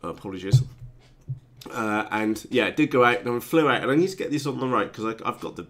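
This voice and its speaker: A man's voice: medium pitch, brisk, fairly bright tone. A man hosting a casual hobby chat show, sounding blocked up and congested from a cold, rambling with many ums and restarts. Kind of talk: casual live-stream chat.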